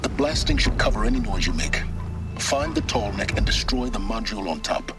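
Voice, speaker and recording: Low-voiced, coolly commanding secretive guide. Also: male voice, wildly swooping pitch, gravelly, loud music behind the voice, full character voice. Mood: terse, urgent. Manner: measured, dry, authoritative, clipped. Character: secretive, self-serving middle-aged male guide, coolly commanding. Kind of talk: video game voice acting.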